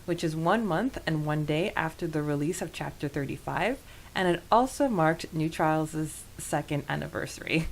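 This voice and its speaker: Low-pitched woman podcaster, noticeable hiss. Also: low-quality recording. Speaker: podcast host. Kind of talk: podcast book discussion with prepared summary.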